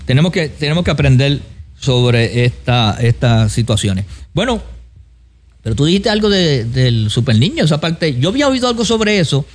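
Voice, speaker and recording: A man's voice: on the high side, sing-song, normal speed. A man with a Puerto Rican accent co-hosting a talk show in a casual, conversational way, choosing his phrases tentatively. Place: studio.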